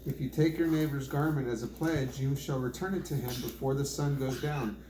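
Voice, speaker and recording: A man reading scripture aloud in a plain, measured way, now and then stumbling over a phrase. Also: medium pitch, steady tone, dark tone. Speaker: scripture reader. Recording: roomy echo, faint background noise.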